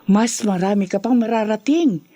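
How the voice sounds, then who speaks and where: An actress playing a proud, outspoken middle-aged mother in a Filipino radio drama, expressive and emphatic. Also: mid-range voice, moderate pace, no room sound, close to an everyday voice. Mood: proud, doting.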